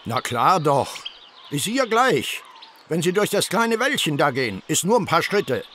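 An obliging, animated village coachman. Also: on the high side, normal speed, a little hiss or hum. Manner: folksy, eager to help. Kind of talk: German audio drama acting. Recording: outdoor ambience with birds behind.

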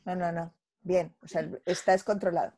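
A woman's voice: on the low side, little pitch movement, normal speed, dark tone. A woman from Colombia with an accent mixing Colombian and Spanish speech, chatting casually on a video call from home. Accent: mixed Colombian and Spanish. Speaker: invited speaker.

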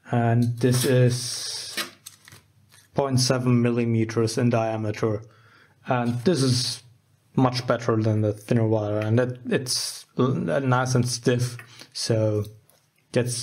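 A man with a soft, dark-toned voice walks through a hands-on demonstration. He speaks slowly and informally, with very little rise and fall, often halting as he thinks aloud. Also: medium pitch. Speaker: host of a DIY electronics channel. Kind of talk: casual unscripted demonstration. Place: indoors at a wooden workbench.

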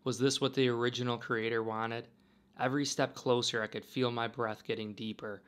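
A man narrating a travel vlog in a calm, wistful, musing way. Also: medium pitch, moderate pace. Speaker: travel vlogger. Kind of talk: reflective voice-over narration.

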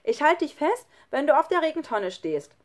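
Storybook casting: woman as plucky boy ringleader, urging and calling, animated swoops. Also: lowish voice, moderate pace. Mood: eager, encouraging. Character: bold, encouraging young boy, a daring ringleader. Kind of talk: children's storybook read aloud.

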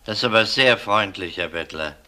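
A shrewd police detective in a crime radio drama. His voice is very low yet forceful, and he questions in a measured, courteous, probing way. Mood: affable, sly. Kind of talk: German crime radio play acting.

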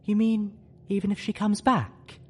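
A refined, heartfelt mare from a storybook, voiced by a male reader. The pitch sits high above a man's usual talk, with a pleading manner. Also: flat delivery, measured pace, husky. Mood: hesitant, pleading. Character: Velvet Remedy, refined female pony, doubtful, pleading, heartfelt.

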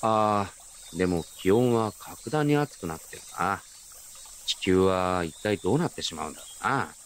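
A man playing a gentle, nostalgic grown man who narrates his memories in a reflective, unhurried radio drama style. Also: sits low, animated, a little hiss or hum. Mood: friendly, musing. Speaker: narrator and lead actor. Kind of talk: first-person radio drama narration with character dialogue.

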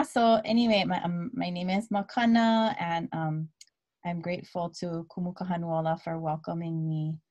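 A woman in her thirties from Hawaii, telling her story as a guest speaker on a video call. She talks in a relaxed way with many fillers and drops Hawaiian words into her English. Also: low-pitched. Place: dim room at home. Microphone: laptop webcam video call.

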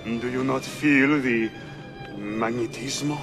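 A man's voice: medium pitch, fairly even intonation, takes it slowly, vocal fry, over music. A man playing a pompous, cowardly Italian womanizer in a video game, melodramatic and florid, with an Italian accent. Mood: wooing, florid. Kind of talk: game cutscene acting.

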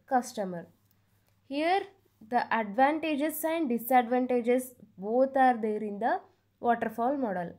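A woman's voice: a little high, mellow. A woman explaining study notes step by step as a teacher, repeating each point plainly, recorded on a phone over a notebook. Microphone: phone camera over a notebook.

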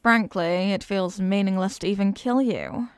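A woman's voice: medium pitch, clean tone, dry recording, close to an everyday voice. A woman voicing a condescending, sadistic and possessive demon queen who mocks the human listener in a scripted ASMR roleplay. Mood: cold, disdainful. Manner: condescending, mocking, deliberate and teasing.

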